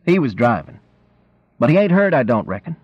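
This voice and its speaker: A man's voice: mid-range voice, sing-song, a little fast, voice pushed up. A man voicing a wry, stubborn, cheerful country man who talks in a teasing backwoods dialect. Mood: wry, mock-indignant. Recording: roomy, dull lo-fi reading.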